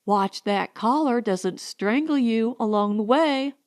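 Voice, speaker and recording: Resentful colonist wife for an audiobook, played by a woman: high voice, taunting, defiant, unhurried. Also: darkish tone. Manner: taunting, challenging. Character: sharp-tongued, resentful, strong young colonist wife.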